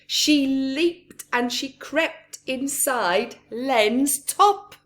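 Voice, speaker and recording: Slow, expressive woman storyteller for children. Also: high-pitched. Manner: acts out characters, pausing for asides to listeners. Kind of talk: expressive storybook read-aloud to young children.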